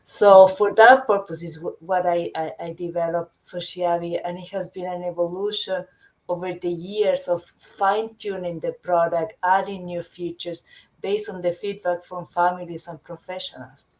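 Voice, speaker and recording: A middle-aged woman from Chile, a company founder presenting her product in a webinar, often pausing with fillers and restarting sentences. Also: on the low side, steady tone, darkish tone, poor audio. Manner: hesitant, many fillers and restarts.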